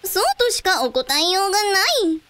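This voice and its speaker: A woman's voice: very high-pitched, lively intonation, moderate pace, fairly bright tone, dry recording. A woman playing a composed, courteous female scientist in a radio drama. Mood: measured.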